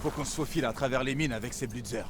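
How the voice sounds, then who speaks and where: A man's voice: medium pitch, racing, noisy background, natural voice. A man playing a grave, determined soldier leading a desperate mission in a war video game. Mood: focused, planning. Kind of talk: cinematic war game dialogue. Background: music.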